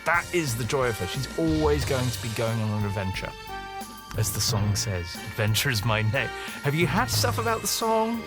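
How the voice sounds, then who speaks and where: A man's voice: medium pitch, big pitch swings, normal speed. A man speaking wryly in declarative statements in a documentary interview. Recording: music playing behind the voice.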